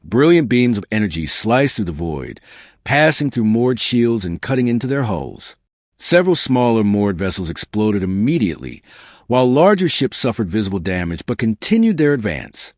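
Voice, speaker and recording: A man reading a scripted science fiction story as an audiobook narrator, in a measured, dramatic storytelling delivery. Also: on the low side, big pitch swings, dry recording, clean studio sound.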